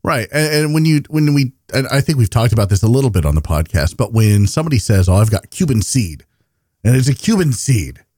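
A man's voice: mid-range voice, sing-song, fairly quick, darkish tone, husky, dry recording, studio quality. A man hosting a podcast in a casual conversational style, asking questions and often rephrasing them as he goes. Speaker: podcast host.

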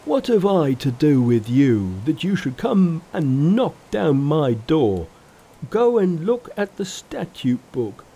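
A narrator voicing a rough, indignant male pig monster in a storybook reading. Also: mid-range voice, big pitch swings, slow, warm tone, voice pushed up, exaggerated voice, low-quality recording. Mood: outraged.

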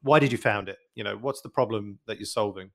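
A man's voice: on the low side, fast, gravelly, a bit bright. A man hosting a podcast interview, chatty and rambling with many fillers. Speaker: podcast host. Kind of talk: casual interview chat.

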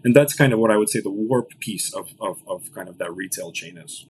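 A man's voice: lowish voice, a little hiss or hum, poor audio. A man in his late twenties from Los Angeles, a founder talking about his business as a podcast guest, with many restarts. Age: late 20s. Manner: fast business talk with many restarts and "right?". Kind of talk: podcast interview.

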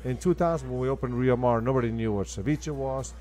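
A man's voice: medium pitch, quick pace, dark tone. A man from Argentina with an Argentine accent and Spanish as his first language, speaking emphatically and enthusiastically as an interview guest. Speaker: guest, restaurant owner. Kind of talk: conversational interview.

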